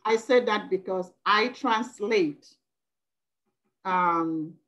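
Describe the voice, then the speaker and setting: A woman's voice: mid-range voice, extremely slow, a bit bright. Woman from Nigeria, Igbo native speaker, effusive and emphatic, laptop video call, committee member. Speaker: working group member. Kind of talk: video call meeting comment.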